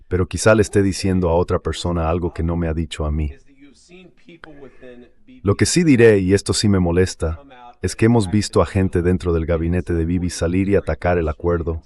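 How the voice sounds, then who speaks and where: Synthetic male dub for political statements, clearly deep register. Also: a little mellow. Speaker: translation voice-over of a politician. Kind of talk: dubbed press remarks.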